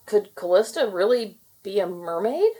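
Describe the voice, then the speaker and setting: An anxious, loving father from a children's storybook, acted out by a woman. He speaks emphatically, with a husky edge and expressive pitch. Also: normal speed. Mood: worried. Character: worried, loving middle-aged human father.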